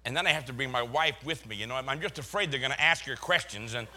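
A man's voice: mid-range voice, fast talker, background noise. An older man from Boston with a Boston accent, a pastor preaching a sermon full of folksy, chuckling stories.

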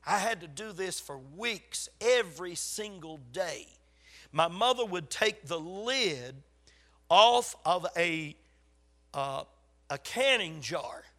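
An older man with a Southern US accent preaching in a folksy, emphatic storytelling way, his voice slightly raspy from a lingering cold. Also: high voice, animated, takes it slowly, a bit bright. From South Carolina, USA. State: getting over a cold. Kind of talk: sermon to a congregation.

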